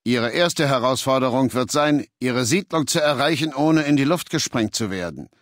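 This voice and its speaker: An actor playing an imperious, menacing warlord ruler in a video game, speaking in a commanding, condescending, deliberate manner. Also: male voice, medium pitch, lively intonation, a bit bright, dry recording, studio quality, natural voice. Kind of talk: video game dialogue in German dub.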